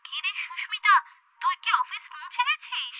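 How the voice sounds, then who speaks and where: A woman's voice: very high voice, expressive, moderate pace, sharp tone, soft background music, exaggerated voice. A woman voicing a concerned, chatty young female office colleague in an emphatic, fussing cartoon manner. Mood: worried. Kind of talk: cartoon dialogue acting.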